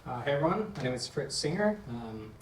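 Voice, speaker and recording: A man introducing himself on a conference panel in a quick, breezy way. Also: mid-range voice, big pitch swings, slight background noise. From Canada and United States. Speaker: panelist, talent acquisition lead. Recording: echoey hall, distant mic.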